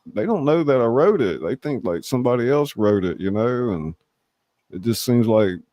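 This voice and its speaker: A man's voice: mid-range voice, expressive, moderate pace, dark tone, no echo, studio quality. A man hosting a show, chatting casually in a folksy, laid-back, appreciative way. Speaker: show host and writer. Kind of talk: casual chat.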